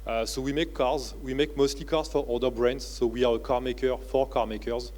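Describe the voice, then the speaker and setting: Halting man with a French accent. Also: mid-range voice, fairly even intonation, darkish tone. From France. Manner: halting, with many "uh" and "you know, like" fillers. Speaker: keynote speaker. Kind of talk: conference keynote talk. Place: convention centre stage.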